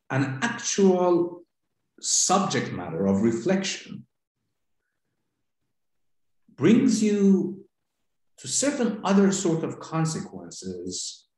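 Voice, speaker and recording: A man with a Persian accent, a native Farsi speaker, giving a philosophy seminar lecture in a deliberate, halting way with frequent pauses. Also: fairly high pitch, a little mellow. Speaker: seminar instructor. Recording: very echoey room.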